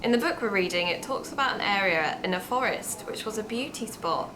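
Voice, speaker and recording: An actress playing a brisk, dry-humoured woman who makes an amateur true-crime podcast, in an audio drama. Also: medium pitch, lively intonation, background noise, lightly acted. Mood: businesslike.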